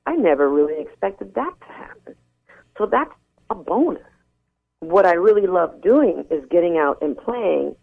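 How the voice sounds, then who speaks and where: A woman's voice: lowish voice, gravelly. A woman rock singer being interviewed, talking earnestly and frankly with many restarts. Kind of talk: candid phone interview. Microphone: telephone. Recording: tinny phone line.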